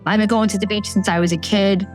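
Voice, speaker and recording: A woman's voice: mid-range voice, fairly even intonation, fast, darkish tone, soft background music. A woman, speaking candidly and casually in a documentary interview. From Staten Island, New York. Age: 40s. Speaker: local resident interviewee.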